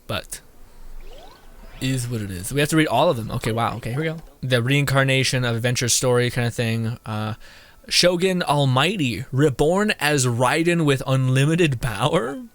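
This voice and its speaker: A man's voice: mid-range voice, expressive, moderate pace, a bit bright. A young man doing casual, jokey game commentary and reading text aloud, with game music behind. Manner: giggly, informal, jokey. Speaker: game streamer.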